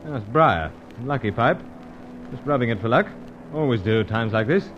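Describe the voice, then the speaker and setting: An actor playing an unflappable, polite British secret agent with clipped, understated speech in an old-time radio serial. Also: male voice, mid-range voice, animated, moderate pace, fairly bright tone, natural voice. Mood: calm, understated. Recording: dull, noisy old radio serial recording.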